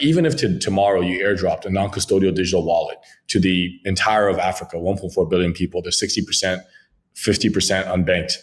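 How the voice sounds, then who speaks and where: French-speaking founder from Congo, deep low voice, fluent and jargon-heavy, peppers talk with "right". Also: male voice. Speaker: crypto company founder, guest. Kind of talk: podcast interview, business pitch.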